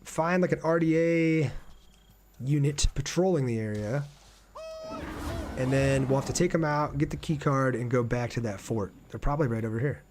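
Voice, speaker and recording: Casting: American male game streamer, mid-pitched voice, informal and conversational, talking straight to his live audience during play. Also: normal speed, a little mellow, slight background noise. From Ohio, United States. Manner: conversational, addresses viewers directly. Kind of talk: casual gameplay livestream chat.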